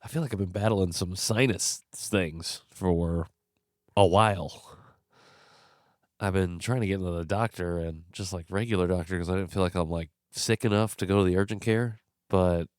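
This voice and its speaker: A young man talks into a close podcast mic, congested and a little worn out. His voice sits low and smooth, and the room sounds dry. He rambles frankly from one thought to the next. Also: fairly even intonation, moderate pace, a little mellow, dry recording. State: stuffed up, congested. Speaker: podcast host. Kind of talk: casual solo commentary.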